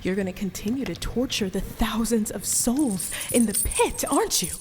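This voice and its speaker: Radio drama actress for captive young woman: high voice, emotional, defiant yet wounded, light background noise. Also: expressive, normal speed, lightly acted. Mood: furious, accusing. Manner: emotional, accusing, pleading. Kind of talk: radio play acting.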